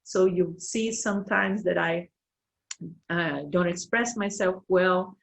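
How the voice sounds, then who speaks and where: A woman in her fifties from Brazil, a native Portuguese speaker with a Brazilian accent, giving a halting online presentation as a university professor, with many fillers as she searches for words. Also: on the low side, steady tone, gravelly.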